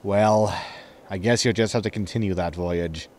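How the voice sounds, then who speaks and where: A man's voice: lowish voice, steady tone, moderate pace, darkish tone, a little hiss or hum, natural voice. A man playing a sarcastic, casual, grumbling spaceship captain in a sci-fi comedy audio drama. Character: flippant, grumbling male starship captain.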